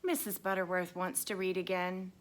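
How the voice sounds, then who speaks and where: A woman hosting story time, talking to young children with hushed, confiding asides. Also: lowish voice, flat delivery, moderate pace, a little hiss or hum.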